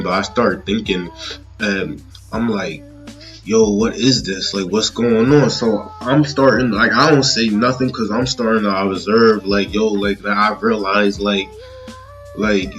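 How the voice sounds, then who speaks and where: A thirty-year-old man giving a casual personal monologue to viewers, rambling and halting, often checking in with the listener. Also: on the low side, a bit bright, rough recording. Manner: rambling, halting, often checking in with "you know what I'm saying". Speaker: vlogger.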